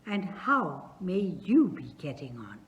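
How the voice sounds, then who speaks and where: A woman's voice: on the low side, big pitch swings, slow, dull audio. A woman reading aloud as a nervous, flustered male landowner who stammers while asking for a daughter's hand.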